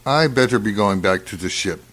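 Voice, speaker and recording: Low-voiced, reserved doctor, played by a man, swinging intonation. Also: lively intonation, fairly quick, a bit bright. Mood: polite. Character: reserved male doctor, a haunted war camp survivor. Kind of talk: radio drama acting.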